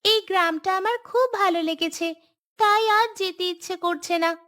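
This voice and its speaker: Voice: very high-pitched, normal speed, sharp tone. A performer voicing a sweet, cheerful young schoolgirl in a cartoon, with a childlike, earnest manner. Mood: sincere. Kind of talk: animated cartoon dialogue.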